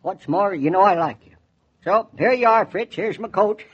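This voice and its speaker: Quirky old New England farm neighbor, high husky voice, folksy and proud, vintage radio drama. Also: male voice, expressive, moderate pace. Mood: eager, folksy. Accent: rural New England Yankee. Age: older. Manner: rustic, drawling hesitations and homespun phrases. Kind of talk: 1940s radio drama acting. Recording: dull, band-limited 1940s radio recording.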